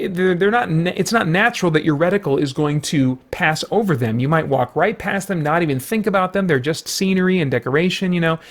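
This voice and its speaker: A man giving a casual spoken game review, rambling with many fillers and restarts and sounding mildly exasperated. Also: a little high.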